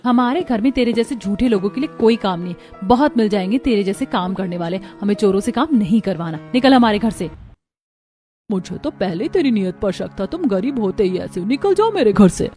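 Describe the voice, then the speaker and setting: A woman playing a haughty, suspicious employer who scolds and accuses with a sharp tongue in a moral story. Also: mid-range voice, animated, brisk, faint music behind, lightly acted. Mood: angry, contemptuous. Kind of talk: dramatized Hindi moral story acting.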